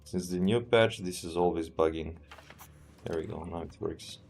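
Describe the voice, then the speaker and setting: A man with a Serbian accent, a streamer thinking aloud as he plays a strategy game in a relaxed, halting way and answering chat. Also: deep voice, creaky. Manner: relaxed, thinking aloud, often trails off and restarts. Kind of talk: live gameplay commentary.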